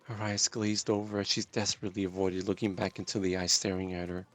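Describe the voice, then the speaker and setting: A man narrating a dramatic first-person story as a storyteller, in a deliberate, intense and emotive way. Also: deep voice, little pitch movement, a bit bright, soft background music.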